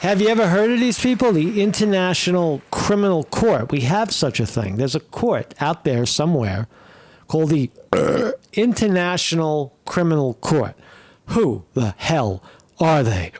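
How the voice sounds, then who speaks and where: A man's voice: a little high, big pitch swings, measured pace, a little mellow, no room sound. A man giving rambling, profane commentary while watching videos, full of fillers and restarts. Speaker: commentary streamer.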